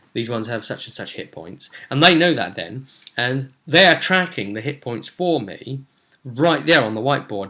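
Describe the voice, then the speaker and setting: A young British man talks casually as a tabletop game master at home. His voice sits at an ordinary middle pitch. He rambles and halts, thinking aloud as he goes. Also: a bit bright. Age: young adult. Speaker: game master, host of a role-play vidcast. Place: indoors, small room at home.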